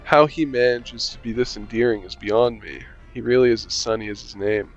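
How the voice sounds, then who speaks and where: A man's voice: mid-range voice, steady tone, moderate pace, muffled recording, soft background music. A young man reading a visual novel aloud, playing the player character with casual, joking asides. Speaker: gaming channel host.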